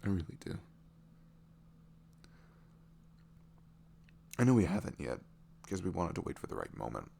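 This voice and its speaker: A man's voice: low-pitched, wildly swooping pitch, very fast, gravelly, mellow, slight background noise, heavily acted. A man playing an adorable, lovestruck young boyfriend in an ASMR romance roleplay, giggly and gushing as he stumbles over words. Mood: tender, nervous.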